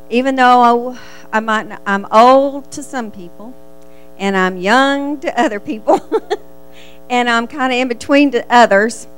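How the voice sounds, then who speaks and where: Texan pastor's wife, halting and heartfelt. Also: female voice, medium pitch, rough recording. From Texas. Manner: halting, heartfelt, with restarts and soft laughs. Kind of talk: church testimony. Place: church.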